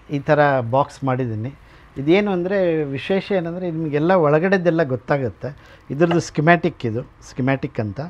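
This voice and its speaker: Guy w/ a highish voice showing people round, drops in english phrases, bit lo-fi sound. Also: darkish tone. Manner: mixes English words and phrases into speech. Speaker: radio museum owner giving a tour. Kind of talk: informal explanatory tour talk.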